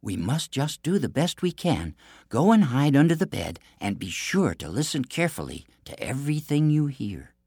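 A man's voice: medium pitch, sing-song, moderate pace, a little mellow, slightly rough, no echo, studio quality, pitched above the performer's own voice. A man voicing a young fairy-tale princess who is anxious, earnest and clever. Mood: conspiring.

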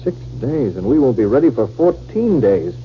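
An actor playing a brisk, deferential army captain serving as an aide in a 1950s radio drama. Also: male voice, medium pitch, sing-song, soft background music, lightly acted. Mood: concerned. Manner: crisply deferential. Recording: dull, faintly hissy old radio recording.

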